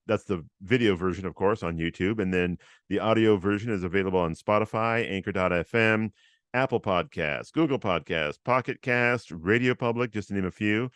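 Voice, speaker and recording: A man with a loud, fairly low voice hosts a relaxed interview podcast. His tone is smooth and resonant, recorded close and dry. He chats in an upbeat, encouraging way, full of fillers. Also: no room sound. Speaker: host. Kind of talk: casual interview chat.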